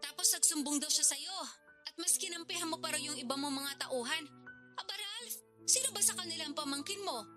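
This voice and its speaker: A woman's voice: high-pitched, fairly quick, music in the background, lightly acted. A woman playing a defensive, confrontational older sister and protective mother in a Tagalog radio drama. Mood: accusing, indignant. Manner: indignant, challenging.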